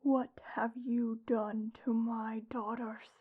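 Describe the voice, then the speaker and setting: A woman voicing a tall, haughty, menacing female vampire noble in a breathy, mostly whispered ASMR roleplay, imperious and scolding. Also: a little high, level tone, narrow, tinny audio. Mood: wounded, scornful. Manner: imperious, slow, drawn-out scolding. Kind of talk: soft-spoken ASMR roleplay.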